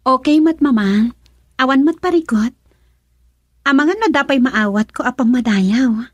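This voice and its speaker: A young, emotional daughter in love in an Ilocano radio drama. Her voice is high and plaintive, always pleading. It is recorded close and dry. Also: animated, measured pace, no room sound. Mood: meek. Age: young adult.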